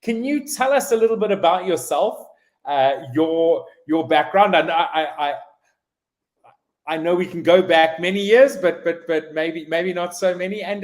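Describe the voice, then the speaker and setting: A man hosting a live stream interview over a video call, talking haltingly with many restarts and fillers. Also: a little high. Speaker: host.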